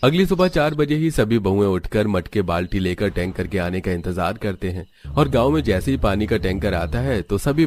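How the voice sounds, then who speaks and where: Low-voiced man narrating a story. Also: a little mellow. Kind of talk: scripted cartoon story narration.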